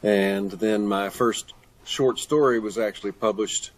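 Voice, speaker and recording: A man's voice: on the low side, steady tone, unhurried, low-quality recording. An older man answering questions as a guest author in a conversational interview. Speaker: guest author, retired pastor and teacher.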